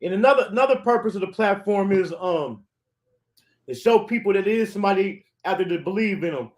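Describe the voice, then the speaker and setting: A man hosting a casual interview, asking questions in a relaxed, laid-back way. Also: high voice, brisk. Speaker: interview host.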